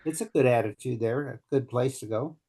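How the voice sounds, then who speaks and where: A man's voice: mid-range voice, fairly quick, mellow, husky. An easygoing man chats on a casual group video call with a mid-range voice. He speaks with some hesitation and laughs lightly now and then. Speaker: meetup organizer.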